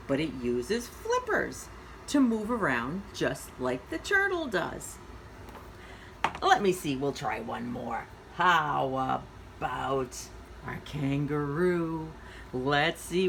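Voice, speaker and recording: Lady doing kids story time, slow n sing-song, lowish voice, simple encouraging questions, bit of background noise. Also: a bit bright, low-quality recording. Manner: simple encouraging phrasing with questions to young listeners. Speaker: children's story time host and educator. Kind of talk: reading and activity instruction for young children.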